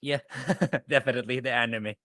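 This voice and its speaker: A man's voice: mid-range voice, fairly even intonation, a little fast, fairly bright tone, no room sound, clean studio sound. A young man co-hosting a podcast, laid-back and chatty, often chiming in with brief agreement. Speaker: podcast co-host. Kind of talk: casual chat.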